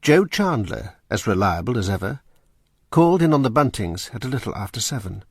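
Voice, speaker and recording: Husky man narrating a drama. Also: lowish voice, sing-song, a little fast, no echo, clean studio sound.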